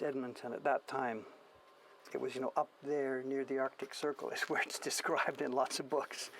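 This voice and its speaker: A man's voice: medium pitch, creaky, background noise. A man in his seventies with a generic Canadian accent, chatting casually in a rambling, musing way as he works. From Canadian prairies, born in England. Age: 70s. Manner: rambling, musing, self-questioning. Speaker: printmaker hosting a studio stream. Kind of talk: casual reminiscing chat while working.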